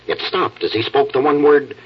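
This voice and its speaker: An American actor playing a fatherly night editor, telling stories in a reflective, halting, dramatic 1940s radio manner. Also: mid-range voice, fairly even intonation, husky. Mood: wistful, reflective. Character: fatherly newspaper night editor spinning wistful human-interest yarns. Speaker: storyteller. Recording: muffled, distant old radio broadcast.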